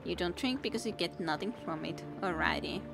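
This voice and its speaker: A woman's voice: on the low side, expressive, a little fast, gravelly, music in the background. A young woman streaming a role-playing game, chatting casually with viewers, laughing often and teasing them. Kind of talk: casual game stream chat, reading dialogue aloud.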